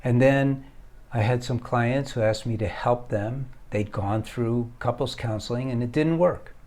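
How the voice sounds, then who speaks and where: A middle-aged man talks unhurriedly to his viewers about marriage, weighing each phrase. His voice sits at an ordinary pitch, and he speaks to the listener directly in a calm, instructive way. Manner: slow, deliberate, addresses the viewer directly. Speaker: marriage counselor and foundation founder. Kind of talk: direct-to-camera advice talk.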